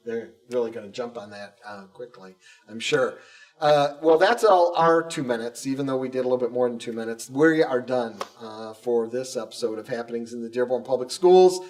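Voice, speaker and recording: A man's voice: mid-range voice, fairly quick, a little mellow, far from the mic. Guy hosting a casual interview show, bit off mic, faint noise behind him. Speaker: show host, school communications director.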